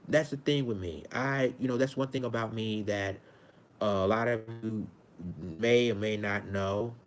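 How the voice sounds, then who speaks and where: A man in his forties with a high-pitched voice, speaking straight to his viewers in a loose, earnest monologue. He circles back and restarts his phrases as he goes, over a faint low rumble. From Arkansas, United States. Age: 40s. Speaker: vlogger.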